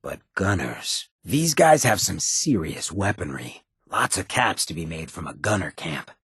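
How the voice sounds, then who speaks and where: Super husky swaggering outlaw mayor from a video game, slangy, cocky, really swingy delivery, dry close recording. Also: male voice, low-pitched, sing-song, a little slow, a bit bright, no room sound, exaggerated voice. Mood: wry, musing. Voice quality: raspy, gravelly, husky. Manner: slangy, cocky, streetwise drawl. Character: roguish, swaggering mayor of a lawless town, cynical charmer. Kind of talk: video game character dialogue.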